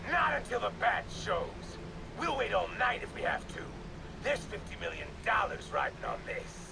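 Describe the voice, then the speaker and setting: A man's voice: high-pitched, very animated, moderate pace, vocal fry, background noise, exaggerated voice. An actor playing a cruel, unhinged male arsonist villain in a video game, sneering and menacing.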